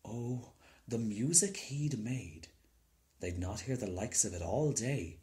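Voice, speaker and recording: Man reading a storybook slowly, with lively pitch. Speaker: storybook reader. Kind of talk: scripted story narration, read aloud.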